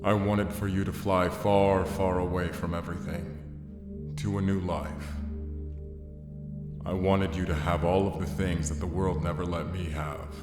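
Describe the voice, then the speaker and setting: A man plays a wistful mentor from beyond in a cinematic audio drama. His voice is very deep and unhurried, tender and measured in its poetry. It rings out in heavy echo with music behind it. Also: level tone. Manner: slow, poetic, measured. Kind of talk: cinematic audio roleplay acting.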